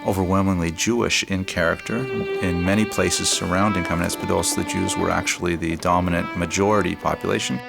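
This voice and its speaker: Deep-voiced historian, measured and explanatory, gravelly, music behind. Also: male voice, fairly even intonation. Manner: measured, explanatory, with filler pauses. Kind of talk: expert documentary commentary.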